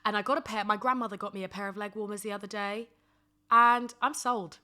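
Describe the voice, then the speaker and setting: A woman's voice: medium pitch, fairly even intonation, a little fast, bright, forceful tone. A woman in her twenties chatting casually as a solo podcast host, emphatic and sassy, often repeating phrases for comic effect. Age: 20s. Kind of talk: casual solo chat with humorous rants.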